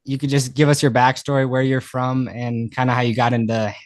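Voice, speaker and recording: A man's voice: medium pitch, flat delivery, brisk. A young adult man hosting a podcast, upbeat and casual, repeating words as he talks. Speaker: podcast host.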